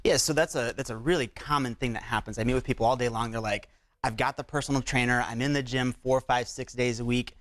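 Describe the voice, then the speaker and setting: A man's voice: medium pitch, fairly even intonation, fast talker, a bit bright, no echo. A man speaking as a doctor in a conversational, promotional TV interview, explaining things with frequent check-ins. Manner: explanatory, uses rhetorical check-ins like "right?" and "okay?".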